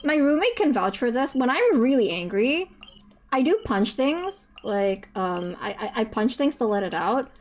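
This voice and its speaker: A young adult non-binary streamer with a higher-pitched voice chats casually during a live reaction stream. They stammer through restarts, laugh often and swear freely. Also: big pitch swings, measured pace.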